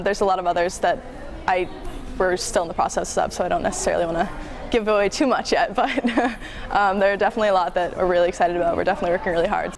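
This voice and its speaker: A woman's voice: fairly low pitch, a little hiss or hum. A young woman student leader giving casual interview answers. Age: young adult. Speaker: student government president.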